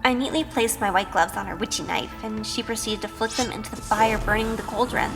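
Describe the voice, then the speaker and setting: A woman's voice: medium pitch, fairly quick, in a large hall, close to an everyday voice. A woman playing a timid, sheltered young woman in an audio drama, hesitant and anxious. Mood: uneasy, reflective. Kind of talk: audio drama acting and first-person narration. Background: music.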